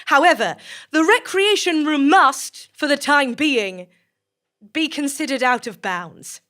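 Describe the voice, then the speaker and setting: A woman playing a formal, composed director of a sinister research facility, giving measured, officious speeches to staff in an audio drama. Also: high-pitched, animated, a bit bright, heavily acted. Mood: grave, reassuring.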